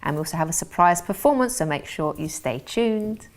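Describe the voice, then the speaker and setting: A woman hosting a TV talk show, asking questions and giving advice in a gentle, hesitant way. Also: lowish voice, expressive, fairly quick. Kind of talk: casual interview chat.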